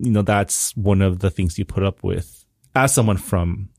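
A man from Hawaii co-hosting a book podcast, speaking in a relaxed, casual way with many fillers. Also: deep voice, normal speed, no room sound, studio quality. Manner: relaxed, filler-heavy, laughs along. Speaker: podcast co-host.

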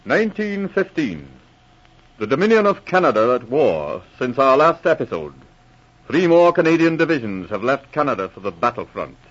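A man's voice: medium pitch, big pitch swings, normal speed. A man narrating a 1940s radio adventure serial in a dramatic, declamatory manner. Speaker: announcer. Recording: muffled old radio recording.

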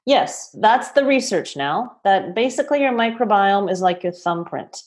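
A woman from Toronto speaks as a guest practitioner in a mid-range voice. She explains things patiently and stays measured, often pointing out that her ideas come from what she sees in her clinic. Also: medium pitch, animated. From Toronto, Canada. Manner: measured and explanatory, hedging claims as observations. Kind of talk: explanatory interview answers.

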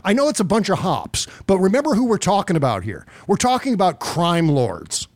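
A man's voice: sits high, huge pitch swings, brisk, no room sound. A man hosting casual political commentary in a sarcastic, exasperated and profane way. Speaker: podcast host.

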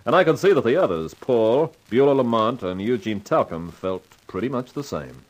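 An actor playing a wry, wisecracking hard-boiled investigator in a radio drama, with an American accent and husky voice. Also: male voice, mid-range voice, lively intonation, moderate pace, close to an everyday voice, poor audio. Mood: wry, ominous. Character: wry, wisecracking hard-boiled detective narrating his case. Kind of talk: 1950s radio noir narration and dialogue.

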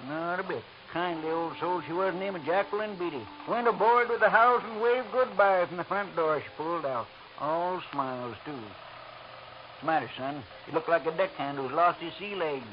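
A man's voice: on the high side, normal speed, lo-fi sound, natural voice. An actor playing a chatty old seaman in a 1940s radio drama, with folksy sailor talk. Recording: hissy old radio broadcast recording.

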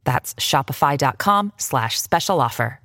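Woman, deep pitch, very animated intonation, playful scripted ad read. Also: measured pace, dry recording, clean studio sound. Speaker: advert announcer. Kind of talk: scripted commercial narration.

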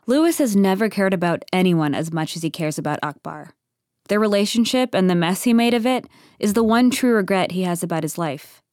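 A woman's voice: medium pitch, expressive, a little fast, darkish tone, no room sound, clean studio sound. A woman reading scripted narration for an audio comedy drama. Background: clear music.

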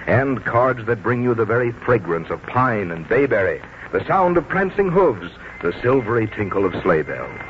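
A man working as a 1940s radio announcer, reading scripted commercial copy with polished promotional delivery. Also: mid-range voice. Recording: dull, noisy vintage radio broadcast.

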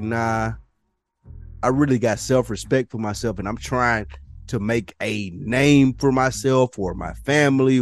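A man hosting a casual podcast, talking in an emphatic, slangy way and acting out quoted thoughts. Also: medium pitch.

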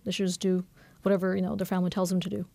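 A woman in her thirties, a novelist who grew up speaking Norwegian and has roots in Bangladesh, talking candidly and quickly in a radio interview with lots of fillers. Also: on the low side, gravelly, no room sound. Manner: fast, candid, animated with many fillers. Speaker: novelist guest.

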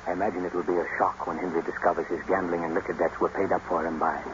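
An actor playing a brooding, bitter, self-made gentleman with a sardonic, deliberate old radio drama delivery. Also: sits low, level tone, close to an everyday voice. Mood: mocking. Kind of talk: 1940s-50s radio drama acting. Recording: noisy, dull old radio broadcast.

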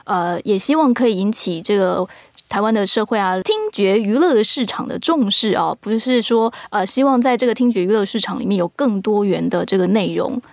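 Female audio drama producer, Taiwanese accent, medium pitch, earnest explainer, dry close sound. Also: a little fast, no echo. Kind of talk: casual explanatory interview talk.

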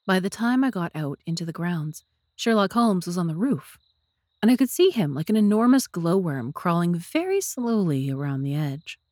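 A woman's voice: lowish voice, sing-song, normal speed, darkish tone, no echo, studio quality. A woman reading scripted story narration as the narrator of a dramatised audiobook.

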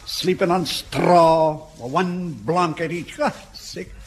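An actor playing a grumbling, sardonic old Scottish private in a British sitcom, full of doom. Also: male voice, a little high, takes it slowly. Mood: grumbling, gloomy. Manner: dour, sardonic, doom-laden. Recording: faint noise, old comedy recording.

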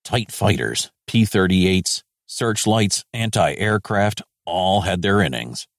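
A man's voice: deep voice, no echo, studio quality. A man playing an American major general of the Second World War, reading his war diary in clipped, terse phrases.

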